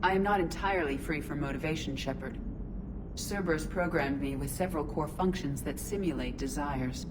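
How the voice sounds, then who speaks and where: An actress playing a calm, literal, curious female ship AI in a robot body, speaking in a measured, formal, precise deadpan for a video game. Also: sits low, background noise, close to an everyday voice. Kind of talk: scripted sci-fi video game dialogue.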